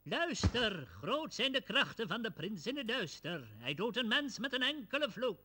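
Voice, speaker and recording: A woman's voice: on the high side, sing-song, normal speed, sharp tone, slightly rough, full character voice. An actress playing an ominous woman in a video game cutscene, giving a solemn, theatrical warning. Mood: grave warning. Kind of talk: dramatic video game cutscene dialogue in Dutch.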